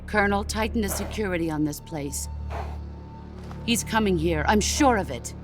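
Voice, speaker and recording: An actress playing a legendary female military boss in a video game drama, speaking with calm, grave authority. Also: medium pitch, normal speed, loud music behind the voice, natural voice. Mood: resolute.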